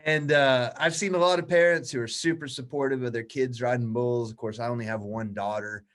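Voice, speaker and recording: A man around forty, a guest in a casual interview, telling stories in a relaxed, easygoing way. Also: mid-range voice, a little fast. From United States.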